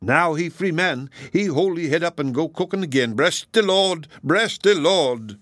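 Guy doing a wailing old ship's cook, high pitched, excitable n fearful, storybook style, close dry sound. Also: unhurried, dry recording. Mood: overjoyed. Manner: wailing, exclamatory, heavy dialect speech.

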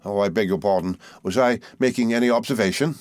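A man's voice: lowish voice, quick pace, darkish tone, lightly acted. A man reading aloud and voicing a fawning, falsely humble young male clerk with a wheedling, obsequious manner.

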